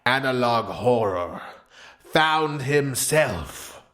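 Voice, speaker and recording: Male storyteller, comedic narration, exaggerated theatrical delivery, unhurried pace, very animated pitch. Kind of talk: comedic scripted narration of an absurd tale.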